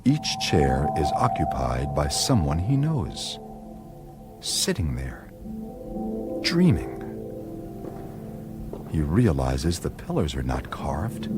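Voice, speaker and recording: A man narrating a radio play in a dramatic, measured, suspenseful storytelling style. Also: low-pitched. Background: loud music.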